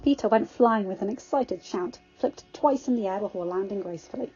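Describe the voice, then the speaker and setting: A woman's voice: medium pitch, fairly quick, mellow, husky, muffled recording, soft background music. A woman reading scripted story narration as a fan fiction narrator.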